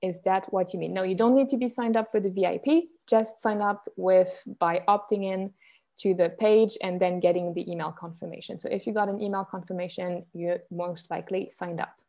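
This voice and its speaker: A mellow-toned young woman from Switzerland hosts a live coaching stream and talks to her viewers in an upbeat, chatty way. She reads their comments aloud as she goes, at an even middle pitch. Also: fairly quick, vocal fry. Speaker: business coach hosting a live challenge.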